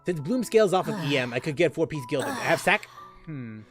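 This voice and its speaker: Male game streamer, high and bouncy voice, chatty with chat, light music under him. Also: animated, brisk. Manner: thinks aloud while playing and answers chat questions. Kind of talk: live gameplay commentary.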